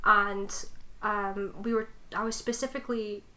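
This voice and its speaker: A woman's voice: mid-range voice, steady tone, unhurried, bright, forceful tone. A young adult woman co-hosting a podcast, chatting casually and informally. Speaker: podcast co-host. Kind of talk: casual chat.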